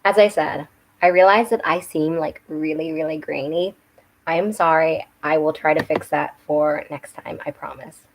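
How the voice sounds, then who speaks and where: A young woman with a slightly hoarse voice, chatting casually and rambling a little on a home live stream while doing her makeup. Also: deep voice, fairly even intonation, moderate pace, rough recording. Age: young adult. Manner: rambling, chatty, with restarts and self-corrections. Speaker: book and makeup channel host. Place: home room with bookshelves. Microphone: webcam.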